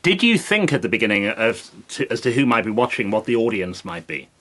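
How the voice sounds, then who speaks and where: A man interviewing a guest, building long, measured questions clause by clause. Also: on the low side, sing-song, a bit bright.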